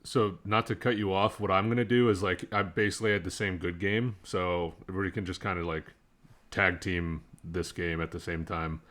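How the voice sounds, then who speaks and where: Low-voiced man in casual gaming chat. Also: steady tone, brisk, fairly bright tone. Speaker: gaming podcast co-host.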